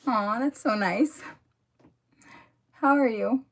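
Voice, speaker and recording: A young woman with a voice hoarse from a cold, chatting casually with viewers during a livestream and filling her talk with "like" and "um". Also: on the high side, slow pace, fairly bright tone. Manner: chatty, filler-heavy, talks to viewers in the comments. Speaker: livestream host. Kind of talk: casual livestream chat while doing makeup.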